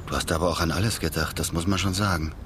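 An actor playing a simple, plain-spoken accomplice in a radio crime comedy, blunt, doubtful and full of questions. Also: male voice, sits low, fairly even intonation, fast, a bit bright, a little hiss or hum. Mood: questioning. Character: wary, simple-minded male accomplice, plain-spoken and greedy.

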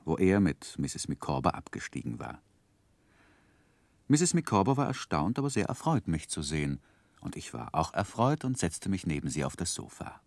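An actor playing a reflective, wry gentleman who narrates his own youth in a literary radio play in German. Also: sits low, dry recording. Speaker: first-person narrator.

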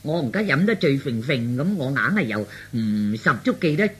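A man voicing a gossipy, tipsy middle-aged aunt, flattering and frank, chatting with drawn-out interjections in Cantonese radio drama. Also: medium pitch, breathy edge.

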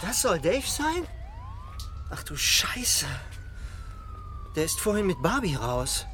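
A man's voice: sits high, wildly swooping pitch, takes it slowly, pushed and bright, slight background noise, full character voice. An actor playing an agitated, foul-mouthed male bar worker and shaken witness in a radio drama. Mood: shocked. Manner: stammering, cursing.

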